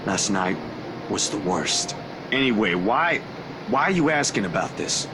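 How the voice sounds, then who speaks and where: A man's voice: on the low side, very animated, unhurried, noisy background. An actor playing a laid-back young man in a visual novel game, an uneasy but loyal friend who talks informally and a little hesitantly. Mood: haunted, reluctant. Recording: music behind the voice.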